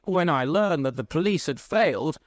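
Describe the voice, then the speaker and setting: A man's voice: mid-range voice, big pitch swings, moderate pace, no echo, voice pushed up, close to an everyday voice. A man voicing an officious young private secretary in a classic story, with an incisive, formal manner. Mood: curt disapproval.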